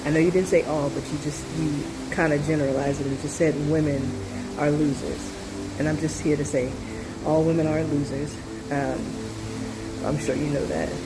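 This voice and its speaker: Woman, low voice, nasal from a cold, rambling casual talk, music playing behind. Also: steady tone, normal speed, poor audio. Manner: rambling, full of fillers and asides. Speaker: video commentator. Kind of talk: casual reaction video talk.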